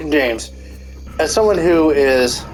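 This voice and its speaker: A man's voice: a little high, animated, slow, faint music behind, low-quality recording. A man in a casual, rambling commentary rant, full of fillers and restarts, swearing often, with a stuffy nose. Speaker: commentary YouTuber.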